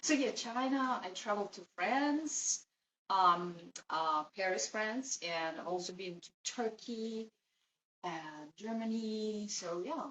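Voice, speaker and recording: A woman's voice: mid-range voice, expressive, slow, fairly bright tone. A woman from Russia with a Russian accent, chatting casually and giggling often on a date. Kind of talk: casual conversation. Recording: echoey room, distant mic, light noise.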